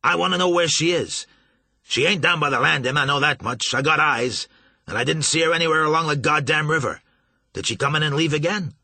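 A blustering riverboat captain barks his demands in a raised, husky voice that leaps up and down, as a man reads him aloud. He swears freely and is forever pushing for answers. The reading is bright and dry. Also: mid-range voice, lively intonation, a little fast, no room sound, heavily acted. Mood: angry bellowing. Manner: loud, cursing, demanding.

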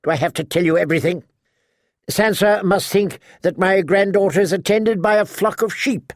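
A man voicing a sharp-tongued old noblewoman in an audiobook, imperious, blunt and talkative. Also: high voice, moderate pace, no echo, studio quality, pitched above the performer's own voice. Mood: tart, bossy. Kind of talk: audiobook character acting.

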